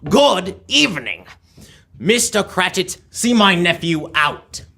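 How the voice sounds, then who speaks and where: A man's voice: high voice, sing-song, takes it slowly, vocal fry, sharp tone, full character voice. A young man playing a bitter, miserly old businessman in a live radio drama, speaking in a curt, scornful, emphatic way. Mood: cold contempt. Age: teenager or young adult.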